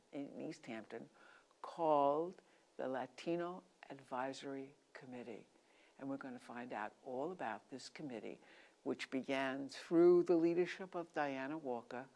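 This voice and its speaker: A woman with a very low-pitched voice hosts a talk show interview. She is chatty and conversational, steering guests with questions and exclaiming at their answers. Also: a little mellow.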